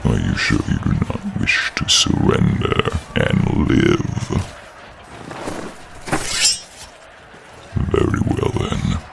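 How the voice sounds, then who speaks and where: A man voicing a giant enemy knight in a fantasy audio role-play, formal and courteous yet menacing, with an imposing, deliberate delivery. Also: wildly swooping pitch, noisy background, heavily acted. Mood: grim, threatening. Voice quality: very deep, gravelly.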